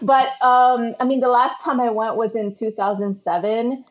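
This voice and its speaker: A woman's voice: on the high side, fairly even intonation, normal speed. A woman born in the Philippines, a guest chatting casually in an interview, with lots of fillers and easy laughs. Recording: slightly dull lo-fi sound.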